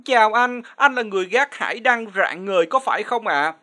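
A woman's voice: fairly high pitch, animated, fairly quick, pushed and bright, faint music behind. A woman playing a pushy, incredulous television reporter in a comic cartoon. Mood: inquisitive.

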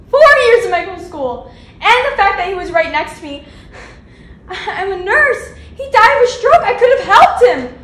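A woman acting a dramatic monologue as a grief-stricken young nurse who blames herself, swinging between flat withdrawal and outbursts. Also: very high voice, moderate pace, heavily acted. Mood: self-blaming. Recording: echoey room from a distance.

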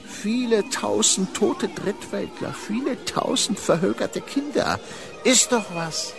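An actor playing a cynical contract killer in a radio drama, mockingly polite and menacing. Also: male voice, sits high, animated, takes it slowly, creaky, over music, heavily acted. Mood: mocking menace. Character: cynical smooth-talking male contract killer in Santa guise. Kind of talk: German radio drama acting.

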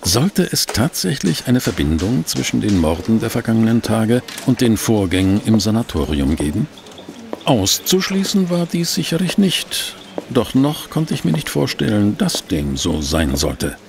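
A man's voice: fairly low pitch, sing-song, unhurried, gravelly, a little mellow, exaggerated voice. An actor playing a polite, wry, inquisitive newspaper reporter who narrates his detective adventures in a German audio drama. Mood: pensive narration.

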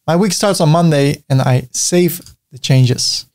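A man's voice: on the high side, measured pace, darkish tone. A middle-aged man from the Netherlands, a native Dutch speaker with a Dutch accent, hosting a website-building tutorial in a friendly, chatty way, cracking small jokes as he clicks through his screen. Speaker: tutorial host. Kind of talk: screen-recorded software tutorial.

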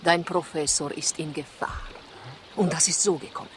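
A woman's voice: sits low, fairly even intonation, normal speed, natural voice. Female spy, acted by a woman, poised, coaxing, patronizing, 1960s radio crime drama, slightly noisy recording. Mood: confiding, matter-of-fact. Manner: coaxing, condescending endearments. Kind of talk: 1960s German radio crime play acting.

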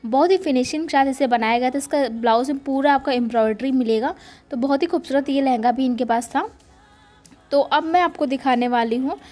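A woman's voice: high voice, a little mellow. A woman narrating a shopping vlog casually, gushing with repeated praise. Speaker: shopping vlogger.

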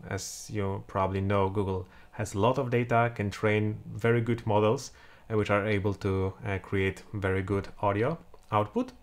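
Tutor: man, 30s, Polish accent, low gravelly voice, walks through code with frequent "uh"s. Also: fairly even intonation, moderate pace. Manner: thinks aloud while typing, many "uh" fillers. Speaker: programming tutor. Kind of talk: screen-recorded coding tutorial. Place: home room, indoors.

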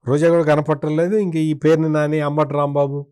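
A man's voice: on the high side, level tone, brisk, warm tone, clear, resonant tone. A man speaking as a political commentator in an interview, in a critical, opinionated way with emphatic repetition.

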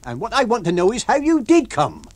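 Man voicing a shrill, scolding country landlady. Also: big pitch swings, normal speed, no room sound. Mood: indignant. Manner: scolding, rustic dialect speech. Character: shrill indignant country landlady, middle-aged.

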